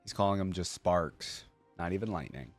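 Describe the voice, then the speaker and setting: A man's voice: low voice, moderate pace, soft background music. A man hosting a reaction video, commenting casually in short, laid-back remarks as he muses aloud.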